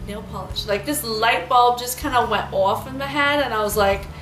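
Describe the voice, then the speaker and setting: Trinidadian woman in her thirties, chatty beauty vlogger, slightly high voice, roomy echo with faint music behind. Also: moderate pace, a bit bright. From Trinidad and Tobago. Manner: chatty, rambling, many fillers. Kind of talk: casual storytelling vlog.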